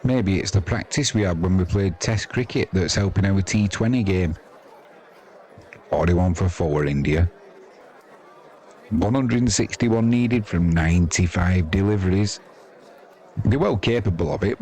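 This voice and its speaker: A man's voice: low-pitched, normal speed, darkish tone. An older British man giving casual ball-by-ball cricket commentary in a chatty, rambling, colloquial way. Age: 50s or older. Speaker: sports commentator. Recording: crowd noise behind the voice.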